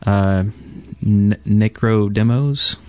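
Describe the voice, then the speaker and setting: A man's voice: on the low side, very slow, darkish tone, no echo. A man hosting a gaming podcast in a casual, conversational way. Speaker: podcast host.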